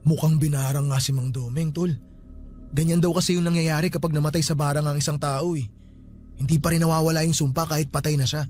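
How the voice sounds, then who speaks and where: A man's voice: a little high, steady tone, quick pace, darkish tone, lightly acted. A man acting a superstitious, grieving young friend at a wake in a Filipino radio drama, with a Filipino accent and colloquial speech. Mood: uneasy. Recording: music underneath.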